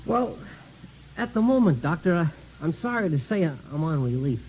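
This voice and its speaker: Folksy American janitor, mellow and high, rambling, humble, colloquial; hissy old radio sound. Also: male voice, big pitch swings, normal speed, rough recording. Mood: earnest, hopeful. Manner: rambling, self-deprecating, colloquial, stammering. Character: talkative, folksy, down-on-his-luck janitor and father. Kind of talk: 1950s American radio comedy-drama acting. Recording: hissy early 1950s radio recording.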